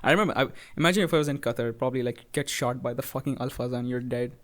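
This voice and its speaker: A man's voice: medium pitch, very fast, a bit bright. A young man co-hosting a podcast in casual banter, slangy, profane and jokey. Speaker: podcast co-host.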